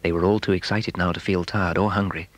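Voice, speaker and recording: A man narrating a children's story in a dramatic, expressive, suspenseful way, with a husky, breathy voice. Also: low-pitched, brisk, no echo. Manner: expressive, suspenseful, building excitement.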